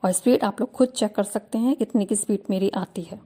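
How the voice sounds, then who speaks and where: A young woman who teaches shorthand, reading a dictation passage in slow, measured word groups, then talking casually and firmly to her students. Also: medium pitch, dark tone. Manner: measured, deliberate phrasing with pauses between word groups; firm, earnest, mildly aggrieved. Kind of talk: casual direct address to students.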